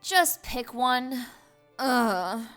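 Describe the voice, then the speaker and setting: A woman's voice: on the high side, animated, extremely slow, a bit bright, faint music behind, full character voice. A woman playing an earnest, easily flustered young pony princess, defensive and emphatic, in a cartoon fan audio drama. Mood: exasperated.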